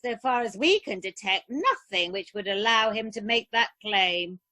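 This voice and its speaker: A woman's voice: mid-range voice, expressive, normal speed, bright, forceful tone, no room sound. A woman playing a haughty, gossiping upper-class Edwardian lady in an amateur radio play, with an arch, formal, theatrical manner. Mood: arch, inquisitive.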